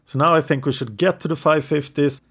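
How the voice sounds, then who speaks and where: A man's voice: on the high side, fairly even intonation, fairly quick, fairly bright tone, breathy edge. Man retelling history from notes. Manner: narrates from notes, hesitant with English words. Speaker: podcast co-host. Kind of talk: casual history podcast storytelling.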